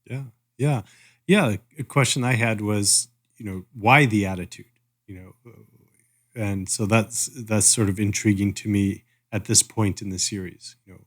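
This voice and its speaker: A man with a fairly low voice chats as a podcast co-host. He muses aloud, halting and picking his way through each thought. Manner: musing, halting, thinking aloud. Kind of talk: casual review chat.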